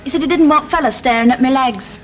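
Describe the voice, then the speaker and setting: Actress as a cheeky Lancashire young wife, highish voice. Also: female voice, fast, over music, lightly acted. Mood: insistent. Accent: Northern English, Lancashire. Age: young adult. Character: cheeky, defiant young working-class married daughter. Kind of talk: 1960s British television soap acting. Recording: dull, lo-fi 1960 television soundtrack.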